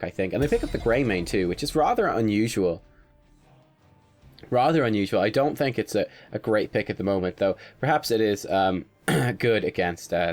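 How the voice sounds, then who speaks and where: A young man with a stuffed-up nose from a cold, chatting through a game draft in casual, analytical commentary. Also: lowish voice. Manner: chatty, analytical, with little laughs. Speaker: gaming commentator.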